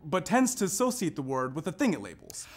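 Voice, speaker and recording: A man performing a scripted narration in a readers theater style, with emphatic, deliberate phrasing. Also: on the high side, huge pitch swings. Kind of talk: scripted narration, staged recitation.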